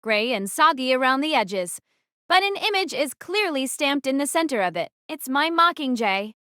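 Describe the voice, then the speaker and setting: A woman's voice: sits high, sing-song, normal speed, bright, forceful tone, no echo, clean studio sound, exaggerated voice. A woman playing a guarded, wary teenage girl archer who narrates her own story in an audio drama. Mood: wary, tense.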